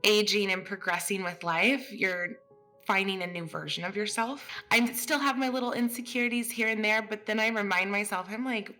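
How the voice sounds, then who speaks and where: A woman's voice: medium pitch, moderate pace, sharp tone. A woman in her twenties, speaking thoughtfully and upbeat in an interview. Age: 20s. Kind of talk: reflective interview. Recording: music clearly underneath.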